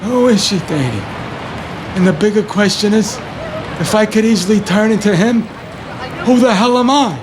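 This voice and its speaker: An actor as a grown New working man with a strikingly high voice, rattled and needy, saying things and then stressing them again. It is audio drama on a loud street with traffic around him. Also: normal speed, long pauses, a crowd in the background, close to an everyday voice. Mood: anxious, exasperated. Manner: repeats phrases for emphasis. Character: anxious, needy grown male construction worker. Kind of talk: naturalistic audio play dialogue. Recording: noisy city street with traffic. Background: urban street ambience, vehicles.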